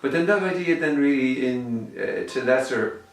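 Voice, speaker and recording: A man at a mid-range pitch hosts a casual podcast interview. He asks probing questions in a hesitant way, full of "uh" and "um", and circles back to rephrase them. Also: animated, normal speed. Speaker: podcast host.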